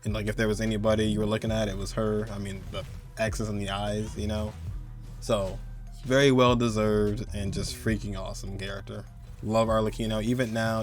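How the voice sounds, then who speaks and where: A man with a fairly low voice hosts a gaming countdown. He talks casually and excitedly, rambling informally and leaning hard on the points he cares about. Music plays behind his voice. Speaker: host of a gaming countdown video.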